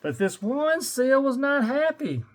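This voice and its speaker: An older man reads a picture book to children with a lively, swooping delivery. He takes his time and stretches words theatrically, then drops into dry, joking asides. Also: extremely high pitch, unhurried. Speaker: grandfather reading a picture book aloud. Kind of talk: animated children's read-aloud with joking asides.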